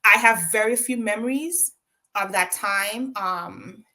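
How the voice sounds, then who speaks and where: Interview guest: Jamaican-accented woman, bright voice, reflective storytelling full of ums and "right?". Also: medium pitch, slow pace. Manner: reflective, with frequent ums and tag questions of "right?".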